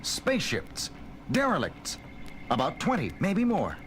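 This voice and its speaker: Decisive space commander, lively higher voice. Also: male voice, measured pace, a little hiss or hum, heavily acted. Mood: brisk, serious. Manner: authoritative, clipped orders. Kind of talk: audio drama acting for a children's record. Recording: loud dramatic music and engine effects behind.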